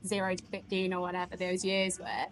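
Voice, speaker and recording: A woman's voice: on the low side, monotone, fairly quick. A woman interviewing a musician, chatty and upbeat and laughing easily. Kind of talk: casual interview chat.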